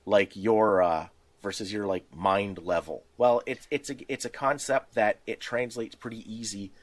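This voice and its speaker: A man with a fairly low voice, recorded very dry. He talks casually about game mechanics and explains by acting out small examples. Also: steady tone, normal speed, no room sound. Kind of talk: casual tabletop game design chat.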